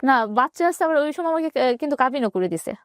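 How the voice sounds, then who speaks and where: A woman with a Bangladeshi accent telling her personal story in an interview, haltingly and with repeated phrases. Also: high-pitched, animated, no room sound, studio quality.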